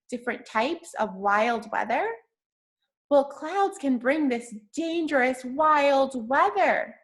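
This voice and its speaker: A woman reading aloud to young children like a teacher, using simple, patient, encouraging language and asking questions as she goes. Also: on the high side. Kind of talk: interactive children's read-aloud with questions and praise.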